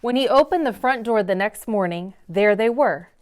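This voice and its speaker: A woman reads a picture book aloud for children at a library story time. Her pitch is medium, and she leans on key words for dramatic effect. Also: expressive, normal speed, slight background noise. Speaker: read-aloud host in a library.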